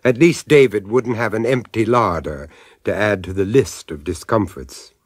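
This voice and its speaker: A man narrating an eerie radio drama as a suave, wry storyteller, measured and deliberate. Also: on the low side, husky, no echo, studio quality. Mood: suspenseful narration.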